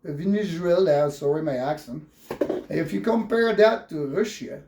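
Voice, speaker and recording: A man's voice: fairly high pitch, a little mellow. Agitated French Canadian man, echoey room. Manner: agitated, profane, halting English with repetitions. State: upset. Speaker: vlogger. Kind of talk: unscripted political rant.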